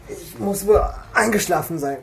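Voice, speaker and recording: A man's voice: sits high, lively intonation, moderate pace, vocal fry, slight background noise. An actor playing a guarded, subdued young male police officer in a naturalistic radio crime drama. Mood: weary, subdued. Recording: faint music behind the voice. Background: music.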